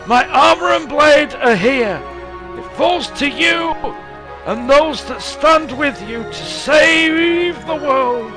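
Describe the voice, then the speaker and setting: A man's voice: extremely high pitch, takes it slowly, heavily acted. A man voicing a solemn storyteller of ancient legends, reading scripted fantasy narration in a grave, dramatic, declaiming manner. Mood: solemn, fatherly. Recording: voice over music.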